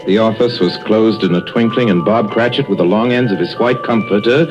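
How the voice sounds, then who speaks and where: A man narrating as a measured, dramatic storyteller in a 1930s-style radio drama, on a muffled old recording. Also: lowish voice, over loud music, close to an everyday voice.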